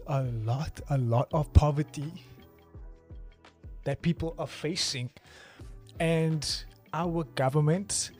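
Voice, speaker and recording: A South African man in his twenties, chatting casually on a livestream in a joking, rambling way and talking straight to his chat. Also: on the high side. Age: 20s. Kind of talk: casual live stream chat.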